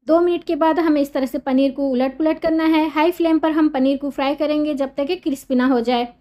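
A woman's voice: high-pitched, a little mellow. A woman host giving a patient, step-by-step cooking voice-over, explaining each step and repeating key instructions.

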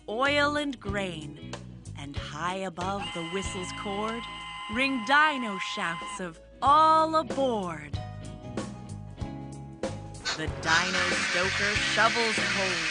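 A woman reading a rhyming children's picture book aloud in an expressive, measured manner. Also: medium pitch. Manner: expressive, measured rhyming delivery with acted exclamations. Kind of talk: read-aloud children's story narration.

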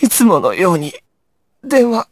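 A woman's voice: medium pitch, very animated, unhurried, no echo, studio quality. A woman voicing a weakened, devoted young male gangster in a game drama, breathless and fading. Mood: weak, longing.

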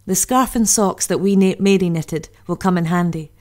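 An actress plays a homesick woman keeping a diary at the front in the First World War. Her voice is on the low side, chatty in an audio drama monologue. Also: normal speed, a little mellow, natural voice. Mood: wistful, chatty.

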